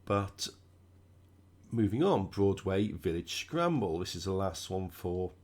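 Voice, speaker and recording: A man talks through his racing game in a relaxed, chatty way, his voice croaky and stuffed up from a cold. He sits a little low in pitch and keeps thinking out loud as he drives. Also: takes it slowly. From United Kingdom. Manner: relaxed, chatty, thinking aloud while driving. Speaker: gaming channel host. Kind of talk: casual gameplay commentary.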